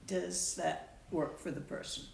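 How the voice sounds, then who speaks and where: A woman teaching meditation and answering a student's question in a slow, measured way. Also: a little mellow, roomy sound, a little hiss or hum. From Latvian background. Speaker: Dzogchen teacher.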